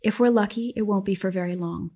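A woman reading aloud as an older sister, a mother herself, speaking gently but firmly. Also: mid-range voice, a little fast, slightly rough. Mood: gentle. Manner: gentle yet firm.

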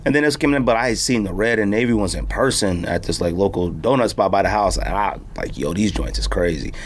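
A man hosting a podcast, chatting casually in an animated, slangy way. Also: fairly low pitch, fast talker, a little hiss or hum. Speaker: podcast host. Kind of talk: casual chat. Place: podcast studio.

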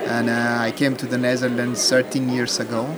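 A man from Ethiopia whose first language is Amharic, answering interview questions in a hesitant way, with many pauses and fillers. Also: mid-range voice, monotone, rough recording. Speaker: guest parent. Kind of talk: casual interview. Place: busy festival hall. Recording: loud festival crowd behind.

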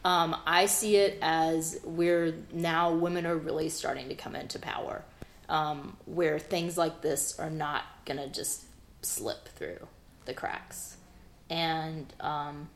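A woman from the American South, a guest artist talking frankly in an interview and laughing at her own jokes. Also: low-pitched. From Southern United States (Memphis, South Georgia). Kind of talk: conversational interview.

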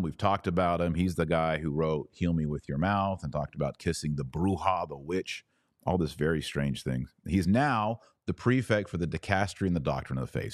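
Low-voiced man hosting a religious podcast. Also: no echo, studio quality. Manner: emphatic, colloquial, explanatory. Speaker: podcast host. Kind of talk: conversational religious commentary.

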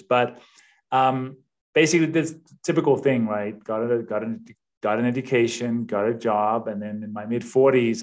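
A man's voice: lowish voice, darkish tone. A man in his fifties from Denmark, with a Danish accent, talking informally as a guest on a podcast. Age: 50s. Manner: conversational, informal. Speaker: podcast guest, real estate investor. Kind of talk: casual interview chat.

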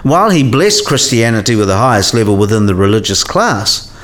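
A man playing a cynical, sidelined professor in a radio play, explaining things in a wry, didactic way with measured phrasing. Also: lowish voice, sing-song, fairly bright tone. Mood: dry lecturing. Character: cynical, sidelined male professor giving career advice.

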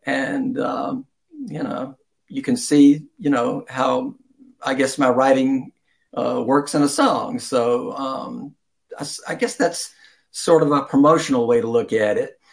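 Older male interview guest, writer type. Medium-pitched, conversational, meandering and modest, given to chuckles. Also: animated, unhurried, gravelly. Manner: rambling, chuckling, self-deprecating.

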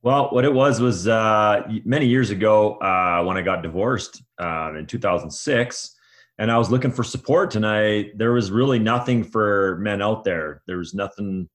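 A man's voice: on the low side, normal speed. A middle-aged man speaking as an interview guest, casual and colloquial, restarting his phrases as he goes. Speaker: guest, men's coach.

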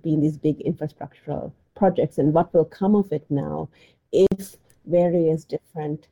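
A woman's voice: low-pitched, moderate pace, warm tone, husky, lo-fi sound. A middle-aged woman from Sri Lanka, an anthropologist answering interview questions with frequent restarts and fillers. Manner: hesitant, many restarts and fillers. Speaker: anthropologist guest.

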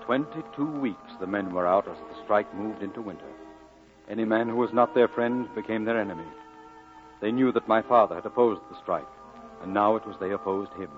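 A man's voice: on the low side, a little fast, lightly acted. An actor playing a grown man recalling his boyhood, narrating solemnly and lyrically in 1940s radio style. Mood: solemn, reminiscent. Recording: dull old radio broadcast, clear music behind.

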